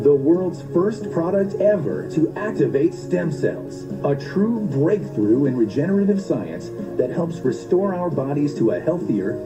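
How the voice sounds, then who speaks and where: Male ad narrator, slightly high voice, persuasive scripted read over a clear music bed. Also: rough recording. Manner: smooth, persuasive sales delivery.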